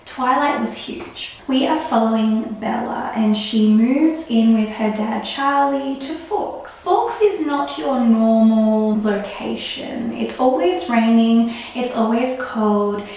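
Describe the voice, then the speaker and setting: A woman in her thirties, husky from a recent illness, chatting excitedly about a book. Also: on the high side, takes it slowly, hardly any pauses. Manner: gushing, excitable. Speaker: book reviewer. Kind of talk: casual book review chat. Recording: very echoey room.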